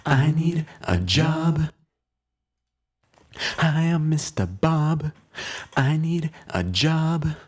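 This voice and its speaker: A man's voice: on the high side, animated, drawn-out words, long pauses, slightly rough, voice pushed up, heavily acted. A man singing as Mr Bob, whispery. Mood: sung. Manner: raw, unprocessed singing. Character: Mr Bob, a man looking for a job. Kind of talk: sung vocal takes over a music track.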